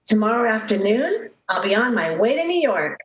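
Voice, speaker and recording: A woman acts out a young switchboard operator in love, from a 1930s radio drama. Her voice is fairly high and swoops expressively. She is dreamy, earnest and gushingly romantic. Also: very animated, normal speed, low-quality recording. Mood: dreamy, excited.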